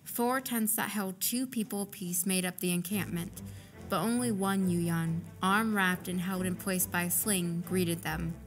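A woman's voice: fairly low pitch, fairly even intonation, unhurried, soft background music. A woman narrator reading scripted third-person fiction for an audio drama.

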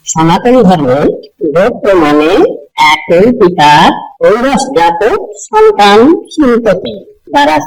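A man playing an instructive village holy man in a cartoon, explaining things deliberately in a Bangladeshi Bengali dialect. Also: a little high, a little mellow, low-quality recording. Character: visiting village holy man, elder Matua preacher, patient teacher.

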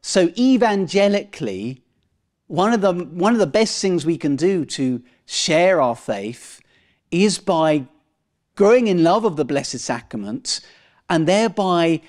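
Higher-voiced male priest preaching. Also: expressive, measured pace, darkish tone. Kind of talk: sermon. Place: parish church, indoors. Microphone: lectern microphone at chest height.

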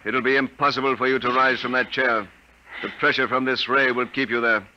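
An alien official from Mars in an old radio play. He speaks in formal, measured sentences with icy, menacing courtesy. The tone is very sharp and forceful, on a muffled vintage broadcast recording. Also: mid-range voice, fairly even intonation. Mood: coolly menacing. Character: cold, courteous Martian embassy official threatening a prisoner. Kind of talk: 1950s radio drama acting.

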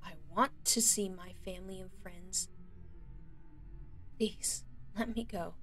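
A woman playing a frightened but defiant kidnapped young captive in an audio roleplay, pleading in halting phrases. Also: mid-range voice, fairly even intonation, fairly bright tone. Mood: pleading, reproachful.

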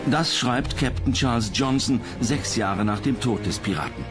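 A man's voice: mid-range voice, moderate pace. Male documentary narrator over music. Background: music behind the voice.

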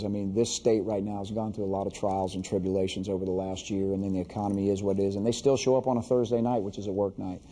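A man's voice: fairly low pitch, dark tone, slightly rough. A man, a coach, talking in a broadcast studio conversation in a rambling way full of fillers.

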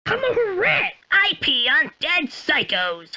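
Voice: sits high, wildly swooping pitch, takes it slowly, bright, forceful tone, exaggerated voice. An actor voicing a silly, gleeful and proudly gross little cartoon rat in exaggerated video game lines. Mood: silly, playful.

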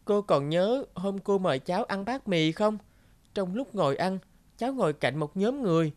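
A woman voicing a timid, polite homeless orphan boy in an animated moral tale, speaking earnestly and a little hesitantly. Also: mid-range voice, moderate pace, dry recording, natural voice. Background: music.

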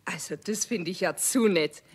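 A woman playing a brisk, strict but kindly mother in a children's radio play. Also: fairly low pitch, husky. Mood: curious.

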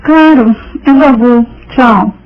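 A woman's voice: on the high side, takes it slowly. An actress playing a curious, jealous fifteen-year-old neighbour girl in a radio soap. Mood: curious, prying. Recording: dull lo-fi sound.

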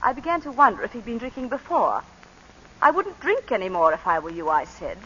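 An actress playing a composed planter's wife recounting a shooting, in 1940s radio acting. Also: female voice, fairly high pitch, big pitch swings, fairly quick, bright, forceful tone, husky, full character voice. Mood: measured. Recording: muffled 1940s radio broadcast.

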